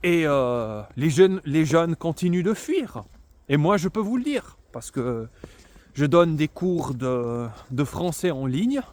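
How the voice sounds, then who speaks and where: Casting: male political commentator, fairly high voice, sarcastic, emphatic delivery, halting with fillers. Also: sing-song, darkish tone. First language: bilingual French and Italian. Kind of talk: unscripted political monologue.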